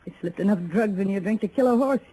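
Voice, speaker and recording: A woman's voice: medium pitch, quick pace, dark tone, close to an everyday voice, rough recording. Tough, sardonic saloon woman played by an actress. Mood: scornful, blunt. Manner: blunt, sardonic. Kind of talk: 1950s radio western acting. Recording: old radio recording with crowd din.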